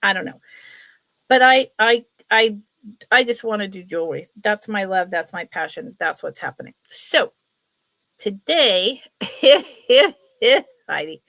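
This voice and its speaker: Sniffly, bright-voiced woman chatting slowly. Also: mid-range voice, no echo. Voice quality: sniffly, congested nose. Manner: rambling, chatty, apologetic asides. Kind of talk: casual livestream chat.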